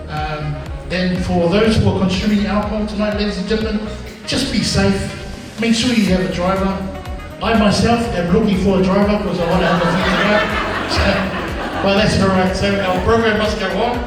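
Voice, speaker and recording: A man's voice: high voice, normal speed. A man working as the MC at a wedding reception, joking and hyping the crowd over a PA mic in a large banquet hall. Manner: jokey, relaxed, hyping the crowd. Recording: echoey PA in a big hall, from far off. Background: music and crowd chatter.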